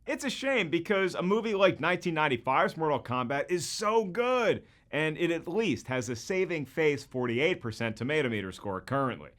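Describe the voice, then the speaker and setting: A man's voice: fairly high pitch, very animated, normal speed, few pauses, fairly bright tone, a little hiss or hum. A man hosting scripted comedic commentary, with a wisecracking manner full of rhetorical questions. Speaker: host and comedian.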